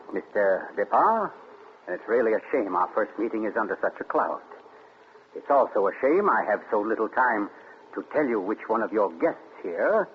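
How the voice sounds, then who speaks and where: Smug urbane crime writer, low voice, deliberate radio-drama storytelling, muffled noisy old recording. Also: male voice. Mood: suave. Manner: suave, deliberate, dramatic pauses. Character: urbane, theatrical mystery writer, smugly telling his tale. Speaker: actor and narrator. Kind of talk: 1940s radio mystery acting, dramatic narration.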